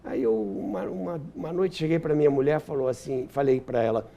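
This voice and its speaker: A man's voice: medium pitch, fairly quick, warm tone. An older man with a Brazilian accent telling anecdotes and explaining history as an interview guest, repeating phrases for emphasis.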